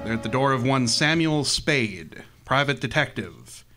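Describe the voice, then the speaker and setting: An actor playing a solemn noir radio narrator, speaking in a deliberate, dramatic way. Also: male voice, medium pitch, expressive. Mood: solemn, suspenseful. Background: loud music.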